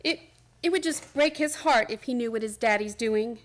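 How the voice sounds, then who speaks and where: A woman plays a protective housewife in a radio play. She chides softly in a high voice. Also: normal speed, close to an everyday voice. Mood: chiding. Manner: chiding. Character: gently scolding wife and protective mother. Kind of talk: stage-like radio play acting.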